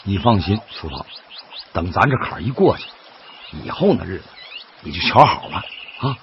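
Animated, folksy Northeastern Chinese working man. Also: sits low, normal speed. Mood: coaxing. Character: earnest, stubborn, cheerful laid-off worker turned boatman, husband. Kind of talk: Chinese radio drama acting. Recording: dull lo-fi audio, loud background noise.

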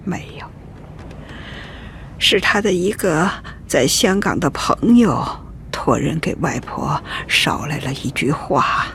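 An actress playing a sorrowful, forgiving old grandmother in a radio drama, gravelly and resigned, sighing as she recalls things. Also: low voice, animated, slow, a bit bright, in a whisper, exaggerated voice. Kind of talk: reflective radio drama acting.

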